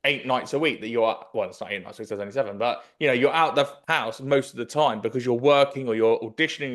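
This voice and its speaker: A man's voice: medium pitch, fairly bright tone. A man, interviewing conversationally with long, run-on phrasing. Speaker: podcast host. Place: home kitchen, indoors. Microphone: desk mic with headphones.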